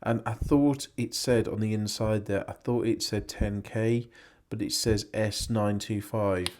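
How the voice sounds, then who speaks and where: An English man, hoarse and losing his voice, chatting casually in a rambling, self-correcting way about antiques he picked up. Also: lowish voice, steady tone, measured pace, a little mellow, slight background noise. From England. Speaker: antiques reseller vlogger. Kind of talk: casual vlog chat.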